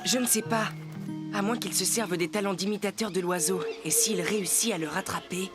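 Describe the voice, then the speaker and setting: A woman voicing a brave, determined young boy in an animated series, with an earnest, youthful delivery. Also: mid-range voice, moderate pace, close to an everyday voice. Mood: curious, puzzled. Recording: music behind the voice.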